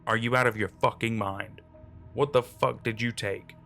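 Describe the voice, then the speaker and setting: A man voicing a hard, blunt criminal who curses with flat contempt, with faint music behind. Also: medium pitch, normal speed, vocal fry. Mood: angry.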